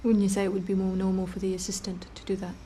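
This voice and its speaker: An actress playing a blunt, businesslike detective questioning people in a naturalistic TV drama. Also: female voice, medium pitch, completely flat delivery, fast, dark tone, slight background noise, close to an everyday voice. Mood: blunt, pressing. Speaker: police detective.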